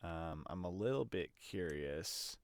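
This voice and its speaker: Low-pitched man, clear dry tutorial voice. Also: animated, slow, dry recording. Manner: hesitant, thinking aloud. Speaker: audio production tutorial presenter. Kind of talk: casual explanatory screen demonstration.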